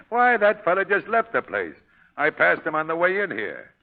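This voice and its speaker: An actor playing a brash, mocking funhouse manager, brusque and slangy, in 1930s radio drama style. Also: male voice, fairly high pitch, huge pitch swings, unhurried, full character voice. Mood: scoffing. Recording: muffled, tinny 1930s radio recording.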